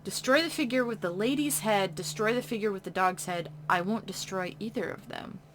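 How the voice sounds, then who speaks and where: A woman playing a horror visual novel, reading its text in a hush and breaking off into casual reactions. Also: mid-range voice, a little fast, slight background noise. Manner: hushed reading broken by chatty reactions. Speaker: game streamer. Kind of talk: reading a game's text aloud with casual commentary.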